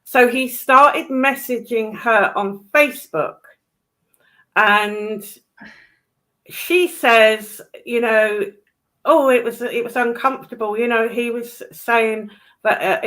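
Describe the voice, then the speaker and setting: Blunt British woman, unhurried and opinionated. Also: a little high, a bit bright. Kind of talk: casual chat, opinionated commentary.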